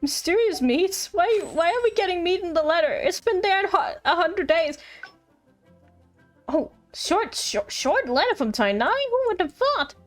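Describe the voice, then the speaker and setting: Female streamer, high voice with animated pitch, casual game chat, stumbles when reading. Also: a little slow, soft background music. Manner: stumbles over words while reading aloud. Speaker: livestreamer playing a game. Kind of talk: casual livestream chat mixed with reading game text aloud.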